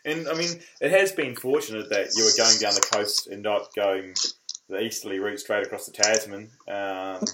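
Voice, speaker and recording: A man's voice: on the low side, normal speed, very noisy. A man hosting a sailing podcast, asking questions in a chatty, folksy way. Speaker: podcast host. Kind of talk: casual interview.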